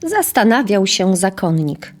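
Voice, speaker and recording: A woman's voice: mid-range voice, big pitch swings, a little slow. A woman narrating a scripted historical tale as the storyteller of an audio drama.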